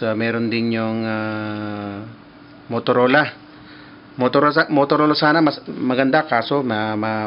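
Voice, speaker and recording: A man with a Filipino accent making casual ham radio contact, halting as he searches for words. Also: medium pitch. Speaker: amateur radio operator. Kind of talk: casual ham radio chat with call signs. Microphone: mobile phone. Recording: muffled, noisy mobile phone capture.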